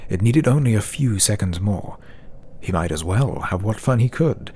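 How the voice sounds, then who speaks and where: A man's voice: on the low side, lively intonation, moderate pace, dark tone, slight background noise. A man narrating a scripted fantasy audiobook as a dramatic storyteller.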